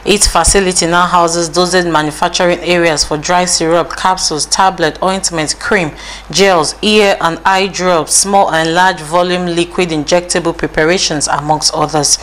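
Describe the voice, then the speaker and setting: A woman narrating a scripted corporate feature in a smooth promotional read. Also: on the low side, fairly even intonation, normal speed, fairly bright tone, a little hiss or hum.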